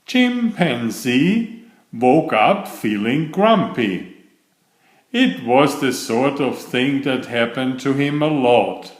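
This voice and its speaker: A man narrating children's picture books as a storyteller, in an expressive, measured read-aloud style. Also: mid-range voice, echoey room.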